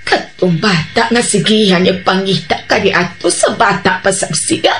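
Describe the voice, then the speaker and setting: A woman's voice: mid-range voice, moderate pace, creaky, a bit bright, faint music behind. A woman playing an emphatic motherly elder in a Filipino Bisaya radio drama. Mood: fond. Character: emphatic mature woman, a family elder called Mama.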